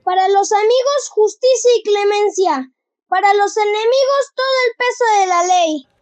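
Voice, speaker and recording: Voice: very high-pitched, moderate pace. A schoolchild with a Mexican accent playing a stern, upright male bee president in a school audio drama, solemn and declamatory. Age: child.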